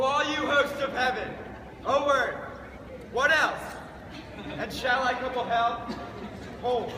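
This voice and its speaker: A young man performing an anguished prince vowing revenge in a projected Shakespearean stage monologue. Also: extremely high pitch. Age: teenager. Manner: declamatory, projected stage delivery. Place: outdoor school courtyard, from a distance. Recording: noisy echoey courtyard from far off.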